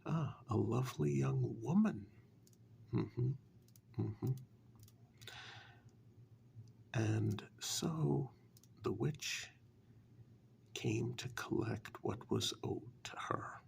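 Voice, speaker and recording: A man playing a sly, condescending warlock in a soft-spoken ASMR dark fairytale role-play, patronising and deliberate, with long pauses. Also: mid-range voice, slight background noise. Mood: knowing, menacing. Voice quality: soft, breathy half-whisper with gravelly creak.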